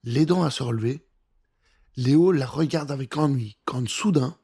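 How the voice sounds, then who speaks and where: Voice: medium pitch, normal speed, creaky, mellow, husky. A storyteller reading a scripted children's adventure in a theatrical, suspenseful way.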